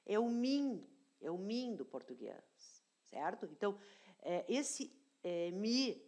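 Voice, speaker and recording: Woman teacher, unhurried explainer, repeats points and checks in with the class; grammar lesson read. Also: mid-range voice, very animated, darkish tone. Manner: slow, deliberate explaining, repeats key phrases, checks in with "tá?". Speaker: language teacher.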